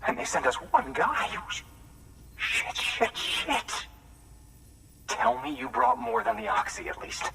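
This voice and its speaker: An actor playing a weary, jittery way station worker in a video game, speaking conversationally with an anxious, gravelly voice. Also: male voice, on the low side, lively intonation, normal speed, pauses often, distant mic, background noise. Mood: dismayed, bitter. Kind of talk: naturalistic game cutscene acting.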